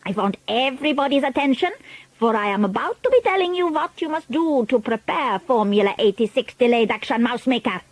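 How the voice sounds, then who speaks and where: A woman's voice: on the high side, animated, brisk, no echo, rough recording. A woman voicing a scheming, pompous old witch leader who talks in grandiose broken grammar. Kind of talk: storybook reading.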